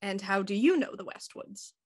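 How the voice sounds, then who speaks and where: A woman playing a cool, dryly skeptical police inspector in a radio mystery, asking measured, probing questions. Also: medium pitch, lively intonation, a little mellow, husky. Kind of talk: radio mystery acting, live online table read.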